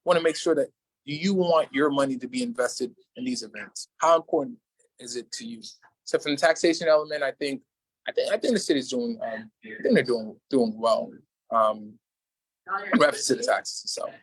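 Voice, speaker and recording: A man's voice: a little fast, breathy edge, no echo, rough recording. A man running for mayor, answering interview questions in an earnest, repetitive way, often restarting his sentences, speaking from a small shop over a livestream. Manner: earnest, repetitive, salesman-like pitch with many restarts. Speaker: mayoral candidate. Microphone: livestream video call.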